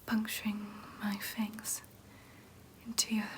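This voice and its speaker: A woman's voice: medium pitch, monotone, slow pace, a bit bright. Woman whispering as a soothing vampire nurse. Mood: calm, reassuring. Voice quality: breathy whisper. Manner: soothing, clinical, hesitant asides. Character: gentle, businesslike female vampire nurse tending a patient. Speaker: ASMR roleplay performer. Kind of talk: whispered ASMR roleplay.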